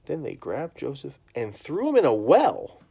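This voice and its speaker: A man's voice: medium pitch, normal speed, creaky, slightly rough. A man in his thirties hosting a bedtime story livestream, chatting casually with lots of fillers and then reading aloud. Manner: relaxed, rambling, lots of fillers.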